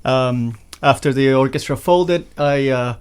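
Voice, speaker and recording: Venezuelan guy violinist on a talk show, smooth clear voice, lots of uhs. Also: medium pitch. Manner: halting, many fillers and restarts. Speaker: guest violinist. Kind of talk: casual interview chat.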